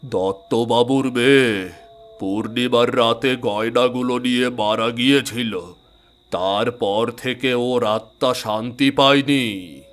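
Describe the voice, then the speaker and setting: Man as old village watchman in horror story: slow, grave, fearful, stretching his words. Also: medium pitch, fairly even intonation, close to an everyday voice. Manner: slow, drawn-out warnings. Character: stern, fearful old village man guarding haunted house.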